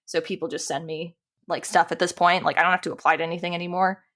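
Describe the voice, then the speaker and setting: A woman with a slightly low speaking voice talks as a podcast guest. She is chatty and informal, with a self-deprecating, easygoing conversational way of explaining things. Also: fairly even intonation, fast, a bit bright. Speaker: podcast guest, animator and dungeon master. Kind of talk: casual interview chat.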